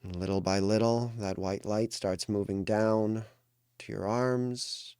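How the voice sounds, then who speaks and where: Slow, low-voiced man guiding a meditation. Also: clean tone, no room sound, studio quality. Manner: calm, soothing, deliberate with pauses. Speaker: meditation coach. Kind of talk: guided meditation.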